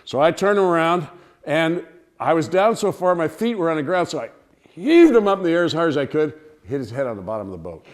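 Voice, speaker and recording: A man telling a humorous personal anecdote to listeners in an animated, theatrical way. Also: on the high side, brisk. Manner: animated, theatrical storytelling with acted-out cries and dialogue. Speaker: storyteller, speaker to an audience.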